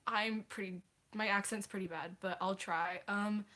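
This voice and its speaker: A woman's voice: mid-range voice, fairly even intonation, moderate pace, sharp tone. A teenage girl hosting a casual livestream, answering viewer questions in a chatty, apologetic way with many hesitations. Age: teens.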